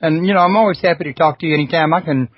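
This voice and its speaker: A man's voice: on the high side, racing, dry recording, dull audio. A man from Houston, hosting a radio talk show in an easygoing, joking way. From Houston, Texas.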